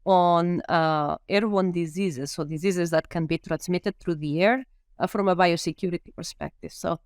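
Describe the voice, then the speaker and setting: A woman's voice: fairly low pitch, moderate pace, no room sound, studio quality. A woman in her fifties from Spain with a Spanish accent, a veterinary professor being interviewed and talking it through thoughtfully with frequent ums. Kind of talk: podcast interview.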